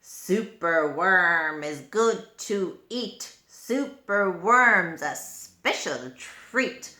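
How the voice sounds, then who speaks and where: A woman voicing a grim, greedy crow in a children's storybook, chanting slowly with relish. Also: lowish voice, lively intonation, pushed and bright, voice pushed down, exaggerated voice. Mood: gloating relish. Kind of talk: children's storybook read-aloud. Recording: roomy, echoing room.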